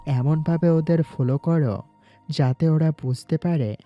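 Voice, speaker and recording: A man's voice: fairly high pitch, steady tone, unhurried, lo-fi sound, lightly acted. A man playing a young university student who is secretly a gangster boss in an audio story, with music underneath. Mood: cool, scheming. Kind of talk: Bengali audio story acting.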